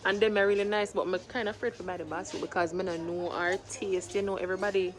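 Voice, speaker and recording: A woman's voice: mid-range voice, steady tone, fast. A young woman from Trinidad, speaking in a Jamaican patois accent that she has learned, chatting casually to her phone camera for a vlog. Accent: Jamaican patois, put on by a Trinidadian. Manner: chatty, mixes patois and standard English. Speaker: vlogger, single mother. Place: home, then in a car and out shopping.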